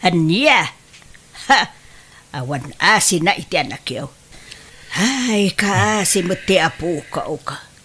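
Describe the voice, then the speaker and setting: A woman's voice: fairly low pitch, big pitch swings, slow, long pauses, fairly bright tone, breathy edge, full character voice. A woman with an Ilocano Filipino accent playing a dismayed, lamenting grandmother in an audio drama. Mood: dismayed, scolding. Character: dismayed, lamenting mother of a grown son, a grandmother.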